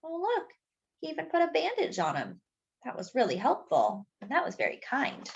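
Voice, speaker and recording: A woman reading a children's picture book aloud, pausing to point out details in the pictures. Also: high voice, wildly swooping pitch, creaky, muffled recording, a little hiss or hum. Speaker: children's storyteller.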